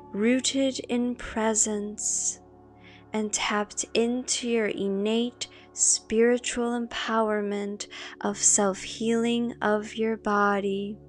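Slow, high-voiced woman guiding a meditation. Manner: calm, measured, with long pauses between phrases. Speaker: guided meditation narrator. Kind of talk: slow guided meditation with spoken affirmations. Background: meditation music.

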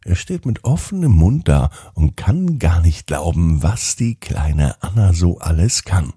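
A man's voice: sits low, big pitch swings, unhurried, dark tone, husky, no echo, studio quality. A man hosting a children's podcast and narrating a scripted bedtime story, speaking expressively and directly to young children.

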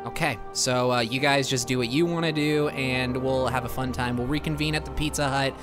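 A man's voice: medium pitch, steady tone, brisk, music in the background, lightly acted. A man improvising a comedic game fandub as a neurotic, rambling young male superhero with a whiny, dramatic delivery. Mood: anxious, resigned.

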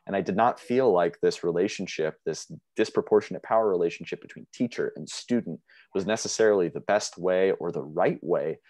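A young man presenting a linguistics paper over a video call, speaking in a measured way with frequent fillers. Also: low voice, darkish tone, no echo. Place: small room indoors. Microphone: video call webcam mic.

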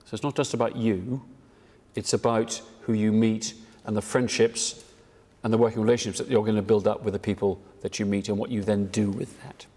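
An older man with a British accent gives a prepared address from a lectern in a measured, emphatic way, as the director of an organisation. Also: mid-range voice, a little mellow. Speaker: charity director addressing seminar. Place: indoor hall, at a lectern. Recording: reverberant hall.

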